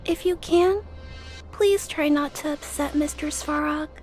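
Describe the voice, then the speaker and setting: A shy little game girl, high voice, slow and hesitant, earnest, music behind. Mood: hesitant, timid. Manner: hesitant, soft-spoken, polite. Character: shy, earnest young girl, gentle and brave. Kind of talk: video game voice acting.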